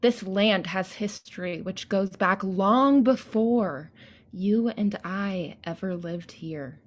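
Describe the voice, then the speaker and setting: A woman giving a poetic spoken-word performance in a solemn, deliberate and measured way. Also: fairly low pitch, slight background noise.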